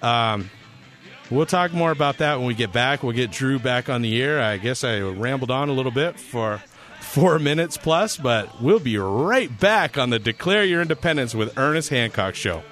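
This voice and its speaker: A man's voice: mid-range voice, expressive, normal speed, a bit bright, faint music behind. A man filling in as a talk radio host, rambling casually with a sarcastic edge and frequent restarts.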